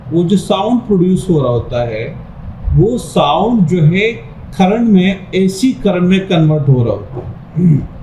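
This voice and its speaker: Mellow, animated male teacher in echoey room. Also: a little high. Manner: repetitive step-by-step explaining with check-in phrases. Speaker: physics teacher. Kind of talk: informal explanatory lesson talking through a diagram. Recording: echoey room with faint background noise.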